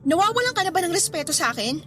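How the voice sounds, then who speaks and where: A woman's voice: very high voice, big pitch swings, rapid-fire, bright, forceful tone, exaggerated voice. A woman playing a hurt but forgiving young girlfriend in a Tagalog radio drama, speaking earnestly and reproachfully.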